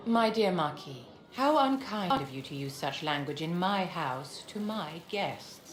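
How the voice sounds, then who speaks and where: Imperious court enchantress, low and animated. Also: female voice, unhurried, echoey room, a crowd in the background. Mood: icy, condescending. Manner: poised, condescending, genteel. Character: imperious, silky aristocratic female court enchantress.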